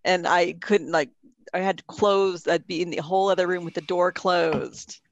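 A man's voice: high-pitched, steady tone, moderate pace, gravelly, lo-fi sound. A man on a podcast chatting casually, teasing a friend and laughing easily. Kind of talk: casual chat.

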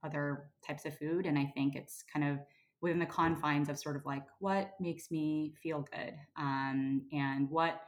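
A woman from Korea with a low voice, talking in an easygoing, thoughtful way. Her talk is loose and full of fillers. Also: a little slow. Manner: relaxed, reflective, many fillers. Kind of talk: casual chat.

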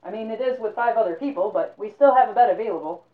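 A woman puts on a chatty male pubkeep who gets loud and excited, voice pushed up high over a lo-fi phone-like line, with a roomy echo hanging on every word in this spoken fairy tale. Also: quick pace, distant mic, natural voice. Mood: friendly. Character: gruff male tavern keeper, loud and chatty. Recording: echoey, phone-like sound.

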